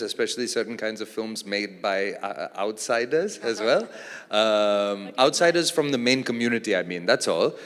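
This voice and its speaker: A man, an actor and producer from Australia, speaking as a panel guest in a relaxed, thoughtful way with many fillers. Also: on the low side, clear, resonant tone. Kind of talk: casual panel conversation. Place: large event hall on stage. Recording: long reverb of a large hall.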